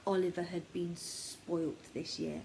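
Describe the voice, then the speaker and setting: A young woman reads a children's story in an expressive, theatrical way, often dropping to a hush, recorded in a small room at home. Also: lowish voice, slow, gravelly, rough recording. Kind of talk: animated children's story reading. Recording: noticeable background noise, home recording.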